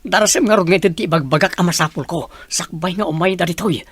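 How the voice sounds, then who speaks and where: A man's voice: sits high, fast, husky, dry recording. An actor playing a man who keeps pressing to say something urgent in a radio drama. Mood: pleading. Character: pushy man desperate to speak out.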